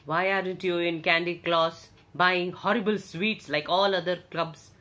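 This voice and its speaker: A woman voicing a grouchy old male grizzly bear who runs a bookshop, speaking gruffly in a raised, lively voice. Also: lowish voice, a little slow. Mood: demanding. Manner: shouting gruffly, then softening.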